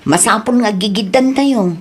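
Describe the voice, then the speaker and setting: A woman's voice: mid-range voice, normal speed, breathy edge, close to an everyday voice. A woman with an Ilocano accent playing a caring, sensible grown daughter in a family drama. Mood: earnest, caring. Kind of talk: Ilocano comedy drama dialogue.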